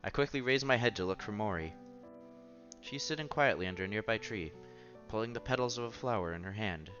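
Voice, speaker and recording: Low-voiced man reading a visual novel aloud. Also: brisk, fairly bright tone. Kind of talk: playthrough narration, reading story text aloud with casual reactions. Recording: dull lo-fi sound with game music behind. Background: visual novel background music.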